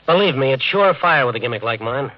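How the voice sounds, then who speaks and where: Eddie, actor as a scheming hustler, muffled old radio. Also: male voice, mid-range voice, lively intonation, fast talker, no room sound, close to an everyday voice. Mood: eager, pitching. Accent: American. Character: Eddie, scheming American hustler narrating his own downfall. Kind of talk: 1950s radio drama acting with first-person narration. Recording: muffled 1950 radio broadcast, faint music.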